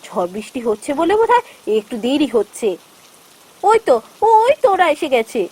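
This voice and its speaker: An anxious village woman from a cartoon fairy tale, voiced by a woman, with an extremely high voice. She is earnest and pleading in her manner. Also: very animated, fairly quick, a little mellow.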